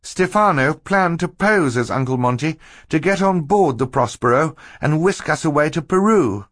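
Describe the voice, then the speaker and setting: A man reads as a determined young girl in a storybook, exasperated and emphatic. The pitch is raised and swings about in a lively way, and the recording is dry and close. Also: normal speed, no room sound. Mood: urgent, insistent.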